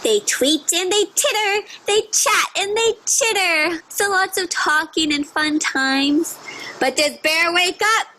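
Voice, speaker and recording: A woman with a high, bright voice reads a story to small children. Her delivery is slow and theatrical, breaking off to talk to the young listeners. There is slight noise behind her. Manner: exaggerated, drawn-out storytelling delivery with child-directed asides. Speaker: teacher reading a picture book aloud to young children. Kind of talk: animated read-aloud with questions to young listeners.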